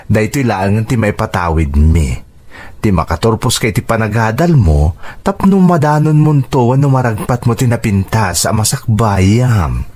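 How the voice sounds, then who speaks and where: A man's voice: fairly low pitch, expressive, measured pace, darkish tone. A man playing a devoted, reassuring uncle in an Ilocano radio drama, speaking earnestly. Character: devoted, reassuring uncle who provides for niece, Paul.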